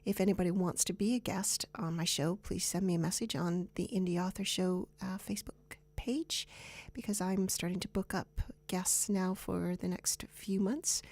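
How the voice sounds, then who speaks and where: Canadian woman hosting, slightly creaky voice. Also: mid-range voice, a little mellow, no echo. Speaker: radio show host. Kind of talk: chatty unscripted radio announcements.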